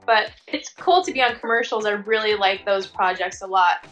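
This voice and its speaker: A woman's voice: medium pitch, normal speed, a bit bright, soft background music. A woman chatting casually as an interview guest, informal and sprinkled with "like" and "you know". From Tongan American. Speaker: interview guest, working fashion and commercial model.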